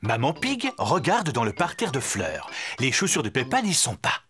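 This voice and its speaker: A man narrating a children's cartoon in a clear, lively, amused storybook manner. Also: medium pitch, moderate pace, soft background music.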